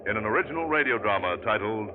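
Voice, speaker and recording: A man announcing a 1940s radio drama in a formal, booming delivery. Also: expressive, fast talker. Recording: tinny noisy old radio broadcast.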